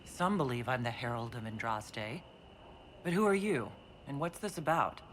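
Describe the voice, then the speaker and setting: Brief: actor voicing a calm, measured hero figure asking pointed questions. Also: mid-range voice, slight background noise, close to an everyday voice. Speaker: player protagonist, the Herald.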